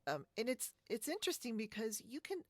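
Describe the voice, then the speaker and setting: A woman hosting a podcast in casual, informal conversation, chatty and quick to giggle. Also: a little high, big pitch swings, no room sound. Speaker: podcast host. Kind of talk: casual chat about sewing. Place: indoors, split-screen video call.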